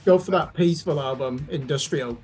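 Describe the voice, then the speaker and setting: A man's voice: a little high, fairly quick, darkish tone, husky. A man co-hosting a casual music discussion, giving opinions with emphasis. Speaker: music reaction show co-host.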